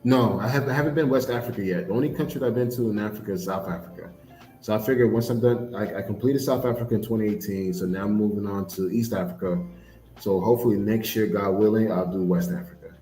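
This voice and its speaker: Laid-back low-voiced man in an echoey room. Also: quick pace, soft background music. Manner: relaxed storyteller, restarts with repeated words. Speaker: podcast co-host. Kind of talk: casual chat.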